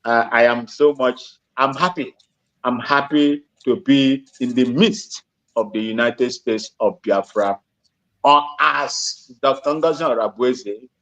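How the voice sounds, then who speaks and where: A man, an Igbo speaker, calling in and talking politely in a halting way. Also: medium pitch, a bit bright, poor audio. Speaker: caller. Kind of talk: casual call-in talk.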